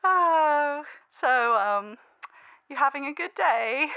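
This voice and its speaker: Woman acting a high, excitable young cartoon scavenger. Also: no room sound, exaggerated voice. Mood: shy.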